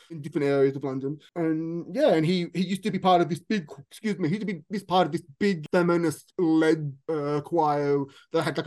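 A man of Palestinian background talks easily as a podcast guest. His voice sits a little higher than most men's. He chats freely, laughs a lot and often restarts with repeated words. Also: a little mellow. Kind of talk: casual conversational interview.

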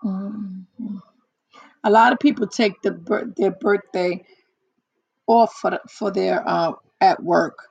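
A hoarse woman in casual one-on-one chat, talking haltingly with many fillers and restarts. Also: mid-range voice, steady tone, darkish tone, no room sound, highs cut off. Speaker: chat partner.

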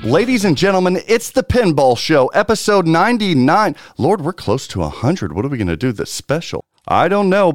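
A man's voice: mid-range voice, no echo, studio quality. A man hosting a pinball podcast, announcing in a showy, hype style before settling into casual chat. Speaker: podcast host.

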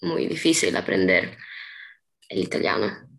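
A woman's voice: extremely low pitch, expressive, measured pace, creaky, fairly bright tone. A young woman from Peru, a guest in a casual interview, who hesitates often with ums and restarts. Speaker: interview guest, artist's model.

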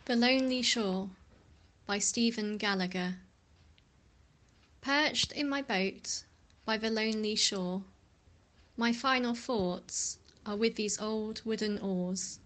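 A woman, reading a poem in a slow, gentle recital. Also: mid-range voice. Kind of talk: poetry reading.

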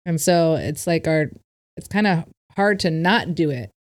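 A woman on a podcast as a guest, explaining breathwork calmly in casual conversation, with easy laughter. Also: low voice, a little slow. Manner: calm, explanatory, laughs easily.

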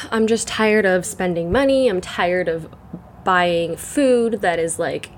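A young woman hosting her solo podcast, chatting in a rambling way full of fillers, her voice croaky and congested from the morning. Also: on the low side, slow, slight background noise. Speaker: podcast host. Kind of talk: casual solo chat.

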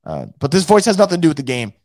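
A hoarse man who is losing his voice hosts a casual fan show and reads out the live chat, blunt and profane, with many restarts. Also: a little high, big pitch swings, very fast, a little mellow, no room sound, studio quality. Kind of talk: casual post-game rant.

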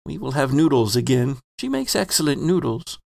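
A man voicing a kindly middle-aged landlord, telling his thoughts in a soft, reflective first-person monologue. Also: medium pitch, sing-song, normal speed, creaky, no room sound, studio quality. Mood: resolute.

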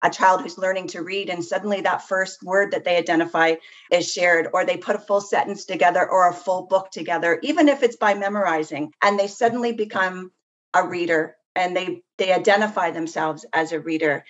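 Female interview guest, slightly low pitch, reflective conversational delivery, somewhat dull recording. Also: fairly even intonation, brisk. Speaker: podcast guest. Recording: dull, lo-fi sound.

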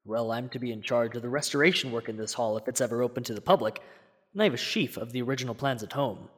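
A young man plays a courteous villain with a sinister core in an old-style radio thriller. His voice is medium in pitch and rings through a long hall reverb. He speaks deliberately and theatrically. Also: slightly rough, lightly acted. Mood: friendly. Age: young adult. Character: outwardly polite, secretly sinister male villain, a restorer. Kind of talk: classic radio drama acting.

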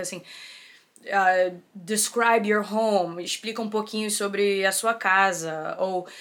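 A woman being interviewed, giving casual advice in a lively, expressive way. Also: mid-range voice. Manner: lively and expressive, animated at times. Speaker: interview guest.

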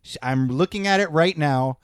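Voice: mid-range voice, moderate pace, smooth, no room sound, clean studio sound. A speaker in their thirties in a casual chat, matter-of-fact and checking facts. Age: 30s. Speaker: podcast co-host.

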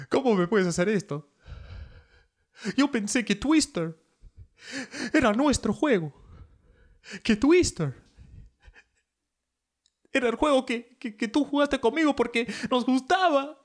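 A man's voice: very high-pitched, expressive, unhurried, pauses often, voice pushed up. A man voicing a melodramatic heartbroken husband from a soap opera, over-the-top and wailing. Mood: anguished.

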